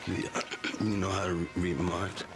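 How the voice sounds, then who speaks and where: An actor playing a bewildered, good-hearted 1920s baker, plain-spoken and halting. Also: male voice, sits low, level tone, music in the background. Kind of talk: period film acting.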